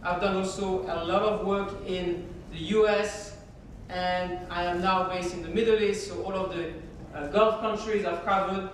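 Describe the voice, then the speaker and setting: A woman with a French accent delivers a keynote talk in an easy, conversational manner, often pausing and reaching for words. Her voice comes from a distance, with a strong wash of hall reverb and a faint low rumble underneath. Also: fairly even intonation. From France. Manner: conversational, hesitant, many fillers. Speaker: keynote speaker. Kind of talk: conference keynote presentation. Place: large conference hall.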